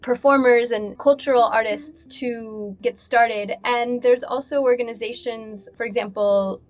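A woman, a children's music performer, explaining her work clearly as an interview guest. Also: on the high side, fairly even intonation, measured pace. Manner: articulate, explanatory. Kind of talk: interview conversation.

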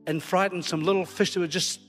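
Male preacher, Scottish accent, slightly high voice, jokey self-deprecating storyteller, live in a big echoing hall with faint music. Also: fairly even intonation, a little fast, fairly bright tone. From Scotland. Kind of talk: informal sermon to a congregation. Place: large hall, live before a congregation. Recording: long hall reverb, live church recording.

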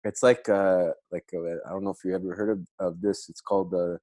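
A man's voice: low-pitched, steady tone, brisk, warm tone, no room sound. A man hosting a podcast in casual chat, rambling with lots of fillers and restarts. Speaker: podcast host.

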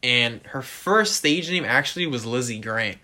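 Bright-voiced animated male podcast host. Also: mid-range voice, a little slow. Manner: animated, addresses listeners directly, leans on stock phrases. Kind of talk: casual music podcast discussion.

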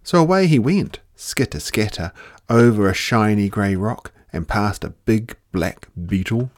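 A man with a fairly low voice reads a children's picture book aloud. His pitch swings widely as he tells the story at an unhurried pace, leaning into words with drawn-out, dramatic emphasis. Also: animated, no echo, studio quality. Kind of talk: expressive children's story narration.

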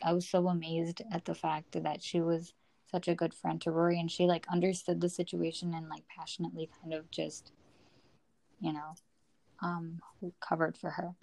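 A young woman with a lowish, fairly flat voice co-hosts a casual discussion podcast. She talks in a loose, conversational way, often feeling for her words. The sound is dry, with no room around it. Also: vocal fry, dry recording, rough recording. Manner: hesitant, conversational, frequent "like" and "you know". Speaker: podcast co-host.

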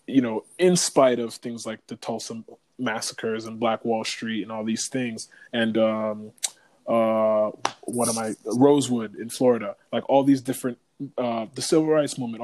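A man's voice: fairly low pitch, a little mellow, no room sound, low-quality recording. A man co-hosting a podcast, talking in a casual, reflective and emphatic way with slangy phrasing. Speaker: podcast co-host.

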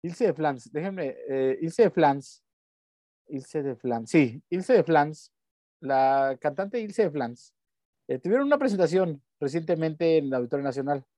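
A man's voice: a little high, animated, unhurried, dark tone, dry recording. A young man with a Mexican accent, co-hosting a podcast in slangy, rambling casual chat. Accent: Mexican Spanish. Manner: slangy, rambling, breaks into bits of song. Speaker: podcast co-host.

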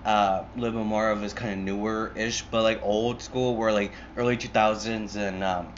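A man's voice: on the low side, level tone, normal speed, lo-fi sound, slight background noise. A young man hosting his own podcast alone, rambling casually with lots of fillers, restarts and swearing, recorded on an earbud mic. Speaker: podcast host. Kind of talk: casual solo podcast chat.

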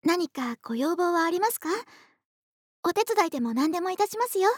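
Very high-voiced gentle young woman next door. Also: expressive, fairly quick, dry recording, studio quality. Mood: politely eager. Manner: soft-spoken, courteous, polite speech, affectionate. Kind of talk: Japanese ASMR situation voice drama, intimate roleplay. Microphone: close studio microphone, dry.

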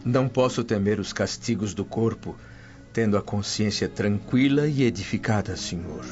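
A man's voice: on the low side, moderate pace, a little mellow, close to an everyday voice. A man with a Brazilian accent playing a devout, dignified freed slave held prisoner, solemn and formal in a radio soap. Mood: solemn, resolute. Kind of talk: radio soap acting in Portuguese. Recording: muffled radio drama with music underneath.

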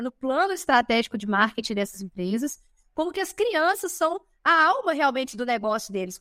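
A Brazilian woman in her thirties talks on a podcast with a high, bright-sounding pitch. Her delivery is lively and chatty, full of quick asides and confirming tags. The sound is close and dry, with no room echo. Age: 30s. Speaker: podcast guest. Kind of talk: casual chat.

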